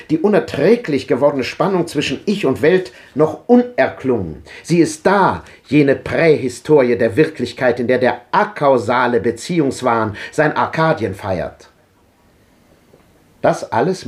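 An impassioned old philosopher. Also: male voice, a little high, darkish tone. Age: older. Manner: declamatory, impassioned, rhetorical. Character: old man, fervent visionary philosopher. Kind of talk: 1950s radio drama acting.

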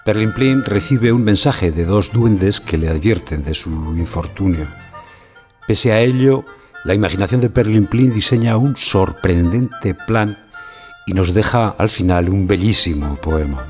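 A man's voice: fairly low pitch, measured pace, darkish tone, faint music behind. A man giving scripted narration that introduces scenes of a stage play.

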